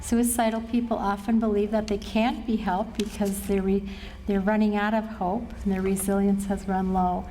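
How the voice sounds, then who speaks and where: A middle-aged woman with an American accent giving an earnest, measured training presentation to a group as a presenter. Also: medium pitch, little pitch movement, warm tone, slight background noise, rough recording. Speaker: suicide prevention trainer and presenter. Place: presentation room at a care facility.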